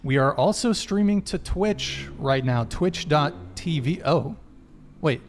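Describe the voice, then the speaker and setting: Young dude running a live podcast, jokey, kinda scattered. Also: male voice, fairly high pitch, expressive, slow, slight background noise. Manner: loose, joking, stumbles over tech mishaps. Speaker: podcast host. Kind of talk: live stream announcements, casual.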